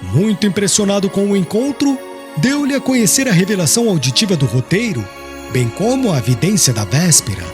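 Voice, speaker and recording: Male audiobook narrator, high voice, expressive melodic intonation, solemn literary reading, prominent background music. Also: a little fast.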